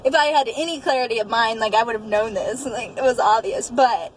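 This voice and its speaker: Higher-voiced young woman, candid and casual. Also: a little fast, low-quality recording. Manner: colloquial, quotes past speech often. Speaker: interview guest telling her own story. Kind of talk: casual, candid personal storytelling.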